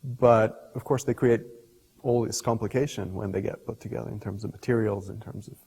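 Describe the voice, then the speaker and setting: A middle-aged man, a scientist explaining ideas in an interview in a thoughtful, halting way, often rephrasing mid-sentence. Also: fairly low pitch, fairly even intonation, gravelly, mellow, husky. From Portugal. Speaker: scientist and professor being interviewed. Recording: reverberant hall-like room echo.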